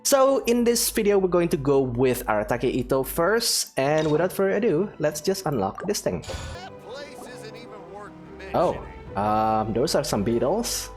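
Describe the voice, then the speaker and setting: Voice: medium pitch, very animated, measured pace, darkish tone, faint music behind. A gaming video host giving casual, playful let's-play commentary in a chatty way.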